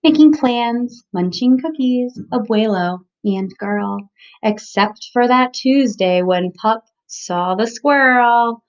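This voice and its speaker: A woman reading a children's picture book aloud, with expressive, emphatic phrasing for young listeners. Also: on the high side, takes it slowly. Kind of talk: children's storybook read-aloud. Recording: dull lo-fi recording.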